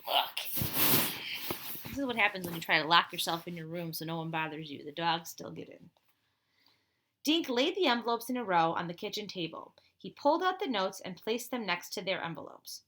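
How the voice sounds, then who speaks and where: Animated, bright-voiced woman reading to children. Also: fairly low pitch, moderate pace. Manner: expressive, chatty asides to young listeners. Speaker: teacher reading aloud to pupils. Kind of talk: animated children's storybook read-aloud.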